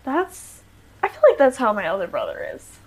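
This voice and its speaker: A woman's voice: mid-range voice, huge pitch swings, moderate pace, slightly rough. A young American woman, a musician guest on a podcast, chatting casually with many fillers and frequent laughs. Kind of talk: casual chat.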